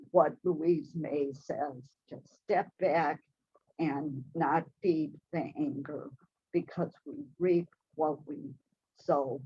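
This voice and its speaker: A woman giving a gentle, measured spiritual talk to a church congregation. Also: deep voice, dull audio, a little hiss or hum. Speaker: church speaker. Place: church hall, also streamed to video call.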